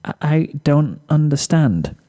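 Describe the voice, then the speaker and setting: A man's voice: fairly high pitch, big pitch swings, takes it slowly, warm tone, voice pushed up. A man voicing a timid, fragile young female pegasus who stammers meekly in a story reading. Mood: timid confusion. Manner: stammering, meek.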